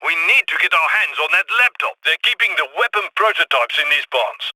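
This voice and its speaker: A man voicing a heist coordinator who gives brisk, commanding instructions over the radio for a video game. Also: a little high, lively intonation, bright, forceful tone, no echo, exaggerated voice, rough recording. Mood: focused. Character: heist planner man giving radio orders.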